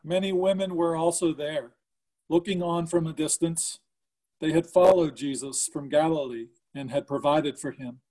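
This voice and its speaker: Higher-voiced man reading scripture. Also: steady tone, normal speed, dark tone, low-quality recording. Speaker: scripture reader.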